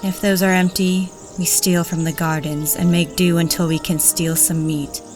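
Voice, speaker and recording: A woman playing a calm, pragmatic female thief in a fantasy audio drama, matter-of-fact. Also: on the low side, moderate pace, over music, natural voice. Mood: calm, practical.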